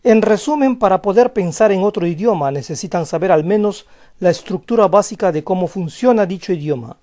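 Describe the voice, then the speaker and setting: Young guy narrating a language lesson, pretty high voice, soft and mellow, slow careful examples. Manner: calm, explanatory, reads example phrases slowly. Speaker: language teacher. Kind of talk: scripted voice-over lesson.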